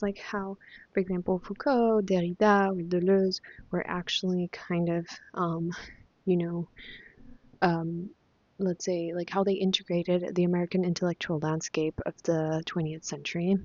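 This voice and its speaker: A woman's voice: fairly low pitch, a little mellow, no echo, lo-fi sound. A young woman whose first language is Spanish, chatting casually about books she has read, with many fillers and restarts. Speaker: vlogger. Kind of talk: casual book review chat.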